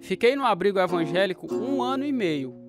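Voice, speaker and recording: A man's voice: sits high, big pitch swings, brisk. Devout brazilian guy in a radio drama, earnest and preachy, guitar music behind. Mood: earnest, grateful. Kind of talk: radio drama testimony narration and dialogue.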